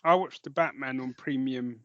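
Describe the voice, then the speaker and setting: A man's voice: mid-range voice, normal speed, dry recording. British man chatting, slightly muffled, dry recording. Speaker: podcast co-host. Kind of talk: casual chat about films and comics.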